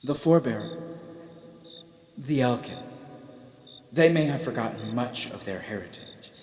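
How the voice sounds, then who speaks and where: A man's voice: mid-range voice, expressive, measured pace, a little mellow, soft background music. An actor playing a frail, courteous male professor whose voice speaks straight into others' minds, with a reverb effect on it in an audio drama. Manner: courteous, precise, scholarly. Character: frail hairless male scholar speaking mind to mind. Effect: reverb on the telepathic voice. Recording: roomy hall-like reverb.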